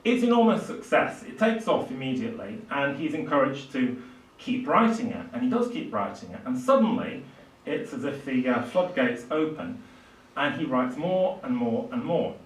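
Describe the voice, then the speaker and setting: An older man giving a public literature lecture, speaking haltingly with emphatic swells. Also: medium pitch, sing-song. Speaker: lecturer and author introducing a book. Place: small indoor lecture room at a podium. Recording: distant, echoey small lecture room.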